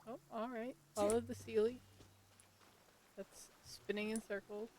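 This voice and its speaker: A woman's voice: fairly high pitch, level tone, unhurried, a little mellow, slight background noise. A woman streaming a video game, giving casual, wry commentary and thinking aloud as she plays. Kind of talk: casual live gameplay commentary.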